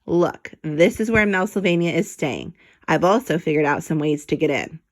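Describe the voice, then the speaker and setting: A woman voicing a self-assured, excitable male rat detective from a children's storybook, with a grandiose, commanding manner. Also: low voice, moderate pace. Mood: confident, scheming.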